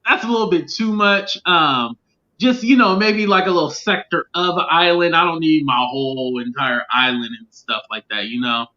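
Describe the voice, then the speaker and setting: A man's voice: a little high, moderate pace, pushed and bright. A man speaking as a guest on a casual live chat over a call, easygoing and agreeable, often laughing along. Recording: dull lo-fi call audio.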